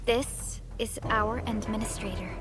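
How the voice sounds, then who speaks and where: A woman voicing a composed, caring young field supervisor in a video game, measured and formal, with music playing. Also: mid-range voice, steady tone, natural voice. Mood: calm, businesslike.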